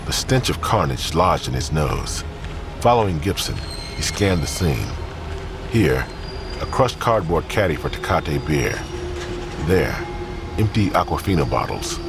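A man with an extremely deep voice narrates a crime story. His delivery is scripted, grim and deliberate, set over clearly audible ambient noise. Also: big pitch swings.

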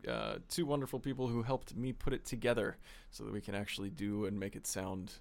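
Low, gravelly-voiced man hosting casually. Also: fairly quick. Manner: relaxed, filler-heavy, laughs often. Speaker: podcast producer and host. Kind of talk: casual behind-the-scenes chat.

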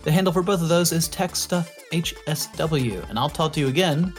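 A man's voice: a little high, a little fast. A man hosting a podcast, speaking directly to listeners in a sign-off style. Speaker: podcast host. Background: faint music.

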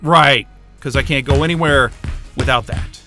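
An actor playing an irritable, sarcastic, sweary astrophysicist in a science fiction audio drama. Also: male voice, mid-range voice, normal speed, sharp tone, faint music behind, lightly acted. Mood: grumbling, puzzled. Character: Wayne, irritable sarcastic astrophysicist, adult man. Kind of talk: naturalistic audio drama acting.

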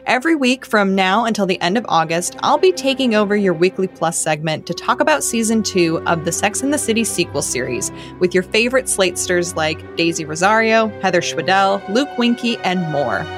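A woman's voice: medium pitch, expressive, brisk, hardly any pauses. A woman podcast presenter reading a scripted promotional announcement to listeners. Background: music.

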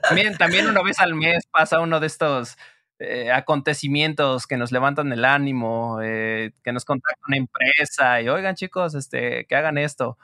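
A man's voice: medium pitch, normal speed, dry recording, clean studio sound. A man with a Mexican accent chatting casually as a guest in an interview, slangy and full of fillers. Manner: relaxed, slangy, many fillers. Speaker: guest, co-creator of a Spanish-learning channel.

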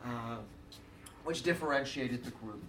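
A man's voice: medium pitch, a little slow. Lecturing man in an echoey room. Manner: conversational, thinks aloud with fillers and restarts. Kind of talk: university lecture. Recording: roomy hall recording from far off, faint hiss.

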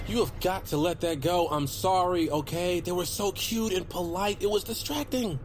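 An actor playing a boastful, defensive goofball bounty hunter who rambles in casual slang, in a naturalistic comedic audio drama. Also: male voice, sits high, normal speed, heavy background noise, close to an everyday voice. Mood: sheepish, pleading.

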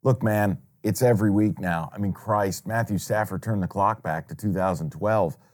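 American dude w lowish voice, sports betting banter, blunt n emphatic, dramatic about his opinions. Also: normal speed, a little mellow. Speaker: sports betting show co-host.

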